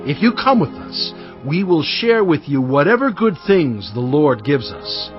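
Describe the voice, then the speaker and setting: Anguished prophet, high voice, sweeping intonation, pleading, declamatory, audio Bible drama. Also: male voice, very animated, measured pace. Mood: earnest, pleading. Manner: impassioned, declamatory, emphatic delivery. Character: Moses, anguished, pleading male prophet and leader. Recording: dull lo-fi sound with music.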